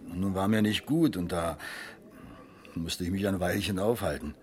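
A man's voice: low-pitched, normal speed, a little mellow, lightly acted. An actor playing an embarrassed, evasive small businessman questioned as a witness in a German radio crime drama, explaining himself defensively. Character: male drinking companion, small factory owner, embarrassed, evasive witness.

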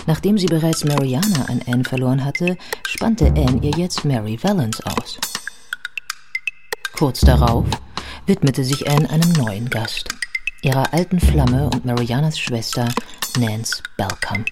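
Female radio-play narrator, wry biographer, detached, composed, factual, loud music underneath. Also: rumbling low, animated, unhurried.

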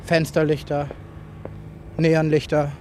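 Man, slightly high voice, introspective fragmented monologue, noisy home tape recording. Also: completely flat delivery, slow pace, a little mellow. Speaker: writer.

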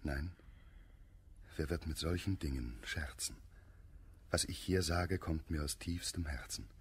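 Deep, husky, courtly suitor. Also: male voice, a little slow, exaggerated voice. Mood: ardent, sincere. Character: earnest, courtly gentleman suitor, patient and devoted. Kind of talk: German radio play of classical verse comedy.

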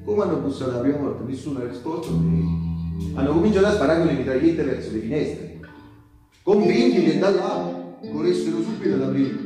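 A male actor plays an earthy, excitable townsman with a central Italian accent and a fairly high voice. He recounts wartime resistance in colloquial, repetitive speech, as a theatre monologue. The space is very reverberant, with live guitar clearly playing behind him. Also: brisk. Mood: animated recounting. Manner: conversational, repetitive, with rhetorical tags. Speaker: stage storyteller and actor. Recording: roomy live stage with music. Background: live guitar accompaniment.

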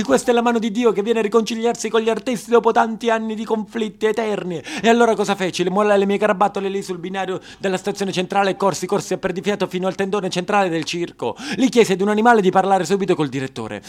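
A young man narrating a theatrical monologue, emphatic and dramatic, playing himself as a pleading young actor. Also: sits high.

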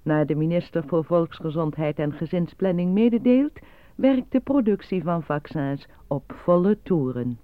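An actress playing a formal, impassive newsreader, giving measured scripted bulletins within a radio drama. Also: female voice, lowish voice, animated, natural voice. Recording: dry, tinny narrow-band radio sound.

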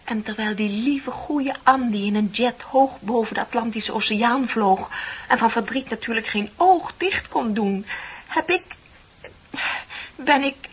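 An actress playing a heartbroken, ashamed young woman confessing in a radio play, dramatic and drifting into breathy near-whispers. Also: fairly high pitch, measured pace. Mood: agitated confession. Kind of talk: Dutch radio play acting. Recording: muffled radio play recording with tape hiss.

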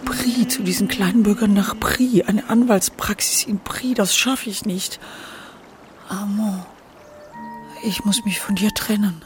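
An actress playing a feverish dying courtesan in a German radio play, theatrical, moving between murmurs, whispers and cries. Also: female voice, mid-range voice, a little slow, long pauses, lightly acted. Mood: dismayed, resigned. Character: feverish dying woman, courtesan lover, swinging from defiance to terror. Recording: music behind the voice.

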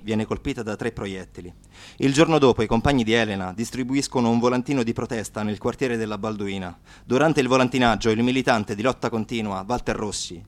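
A man reading scripted historical narration as a radio narrator. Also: lowish voice.

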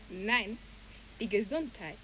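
A woman's voice: a little high, fairly bright tone, a little hiss or hum, exaggerated voice, poor audio. A woman playing a dreamy, imaginative child in a poetic radio play, speaking earnestly and lyrically.

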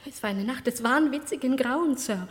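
An actress playing a melodramatic cook giving formal, grandly theatrical testimony in a parody crime radio play. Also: female voice, high voice, normal speed, lightly acted. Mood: grave horror. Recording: cavernous reverberant radio-play sound.

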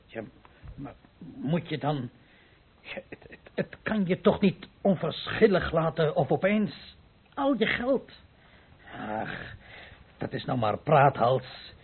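A ruined innkeeper, anxious and rueful. His slightly high, raspy voice stumbles over words in hesitant radio play dialogue. The recording is old and muffled. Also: very animated, takes it slowly, pauses often, full character voice. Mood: dejected, flustered. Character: dejected, bankrupt innkeeper, anxious and grudging. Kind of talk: Dutch radio play acting.

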